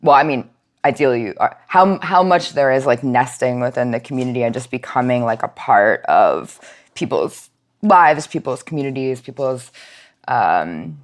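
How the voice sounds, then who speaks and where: A woman's voice: a bass voice, animated, normal speed. An American woman speaking as an interview guest, explaining her nonprofit work in an informal, conversational way with many casual asides.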